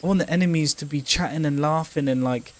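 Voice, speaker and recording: A man's voice: a little high, fast talker, a little hiss or hum. A man co-hosting a casual gaming livestream, thinking aloud in a rambling, sweary way. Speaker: game streamer co-host.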